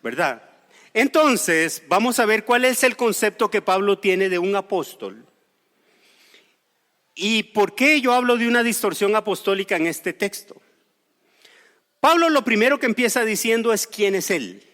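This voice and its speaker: A man's voice: high-pitched, expressive, measured pace, bright, forceful tone, in a large hall. A man from Costa Rica preaching a sermon, explaining scripture with personal stories and light humor. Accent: Costa Rican Spanish. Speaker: pastor. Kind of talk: sermon with storytelling.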